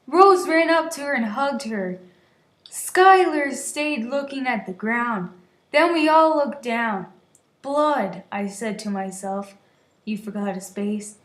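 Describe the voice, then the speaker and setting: A high-pitched woman reads a story aloud at a slow pace. She gives it amateur drama, trips over words and drops into casual, giggly asides. The room around her sounds echoey. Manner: giggly, stumbling over words, shouting the quoted lines. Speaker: reader of an amateur online story. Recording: roomy, echoey voice recording.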